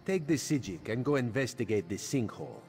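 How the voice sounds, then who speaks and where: A man's voice: mid-range voice, big pitch swings, brisk. An actor playing a sly, wry catlike male agent in a video game, with a theatrical manner and talk of himself in the third person. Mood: wry, inquisitive. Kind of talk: video game character dialogue.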